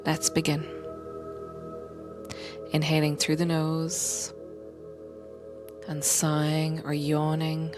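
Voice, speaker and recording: Very slow, gentle woman guiding meditation. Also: low-pitched, monotone, over music. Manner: gentle, unhurried, often dropping to breathy whisper. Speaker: meditation guide and coach, podcast host. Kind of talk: guided meditation, soothing slow instruction.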